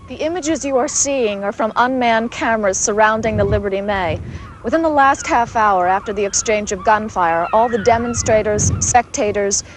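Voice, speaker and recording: Female correspondent played by an actress, TV news drama: businesslike, mid-high voice, faint location noise behind. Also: normal speed, close to an everyday voice. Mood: sober, factual. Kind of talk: scripted news report.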